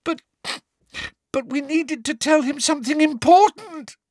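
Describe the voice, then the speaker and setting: A man's voice: very high-pitched, lively intonation, unhurried, sharp tone, dry recording, clean studio sound, pitched above the performer's own voice, full character voice. A man voicing a frightened teenage girl who stammers and sobs shrilly. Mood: tearful.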